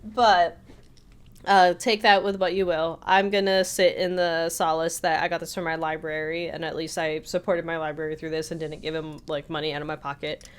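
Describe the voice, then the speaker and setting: A woman's voice: low-pitched, level tone, normal speed, smooth. A woman co-hosting a podcast, telling stories in a casual, conversational way. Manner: conversational storytelling, voices quotes from others. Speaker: podcast co-host. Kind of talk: casual chat, telling anecdotes.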